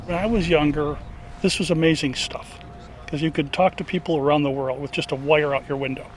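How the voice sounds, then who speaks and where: A man's voice: fairly high pitch, fairly even intonation, a little fast, slight background noise, poor audio. An older man, a radio volunteer, talking casually in an interview.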